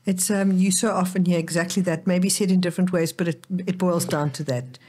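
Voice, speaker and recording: Husky low-voiced woman host. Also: fairly even intonation, fairly quick, warm tone. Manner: encouraging, repeats words as she builds a point. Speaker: podcast host. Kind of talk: casual interview.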